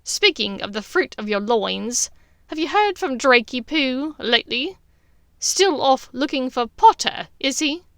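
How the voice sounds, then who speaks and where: A woman voicing a middle-aged dark witch, sneering, taunting and sharp, in a storybook reading. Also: sits high, animated, unhurried, dry recording, clean studio sound, pitched above the performer's own voice, exaggerated voice. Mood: sharp, prying.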